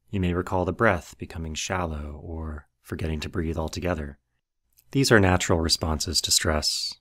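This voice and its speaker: A man leading a guided meditation as scripted narration, with measured, instructional delivery. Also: sits low, a bit bright.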